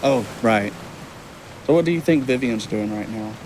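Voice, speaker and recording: A man's voice: medium pitch, moderate pace, natural voice. A man acting in an audio drama as a wistful, curious traveling stage magician, talking in a casual, musing way. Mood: pensive. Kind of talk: audio drama dialogue, naturalistic. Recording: steady sea and wave noise behind.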